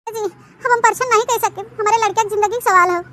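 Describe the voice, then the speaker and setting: A woman's voice: very high-pitched, fast talker, bright, forceful tone, no echo. A woman voicing an anxious, plaintive village mother-in-law in a comedy cartoon, speaking wordily with an Awadhi accent. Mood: pleading.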